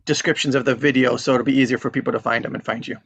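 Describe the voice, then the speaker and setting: A host with a medium-pitched voice moderates a group in an easy, casual way. Short acknowledgments lead into introductions. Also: fairly even intonation, racing, husky.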